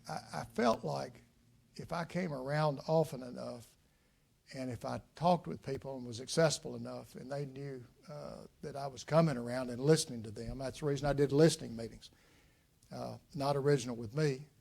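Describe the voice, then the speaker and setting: An older man with a drawl talks to an audience in a lecture room, folksy and unhurried. His voice carries a gravelly edge and frequent "uh" pauses as he reflects on his answers. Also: medium pitch, fairly even intonation, darkish tone. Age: 60s. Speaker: guest speaker, former state legislator. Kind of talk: public talk with audience questions.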